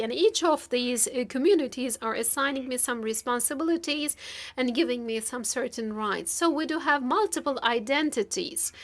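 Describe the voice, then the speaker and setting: A woman with a high voice interprets a formal speech live. She delivers it piece by piece, halting between short phrases and stretching words as she goes. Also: a bit bright. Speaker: simultaneous interpreter.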